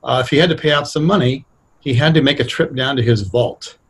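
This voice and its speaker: A man speaking casually and thoughtfully as a writer being interviewed, explaining things in a halting, anecdotal way. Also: mid-range voice, slight background noise. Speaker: radio drama writer, interview guest.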